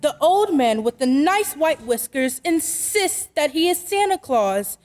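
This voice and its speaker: A woman's voice: high-pitched, big pitch swings, a little slow, a little mellow. A woman playing an earnest, anxious store executive in old-time radio drama style. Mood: worried.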